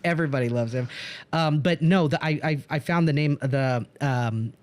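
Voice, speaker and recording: A man's voice: a little high, moderate pace. A man hosting a casual podcast discussion, talking in an enthusiastic, rambling way. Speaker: podcast host and producer. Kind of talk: casual podcast discussion about film music.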